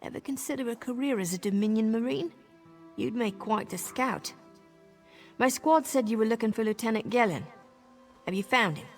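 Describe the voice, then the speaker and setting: An actress playing a brisk, troubled female marine squad leader in a fantasy video game. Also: a little high, soft background music, natural voice. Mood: brisk, businesslike. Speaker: military officer. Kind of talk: scripted video game dialogue.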